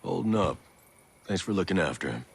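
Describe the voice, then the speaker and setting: An actor playing a weary, guarded soldier in a video game, with a gravelly, husky voice and terse, subdued delivery. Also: male voice, sits low, lively intonation, a little fast, heavily acted. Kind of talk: video game cutscene dialogue.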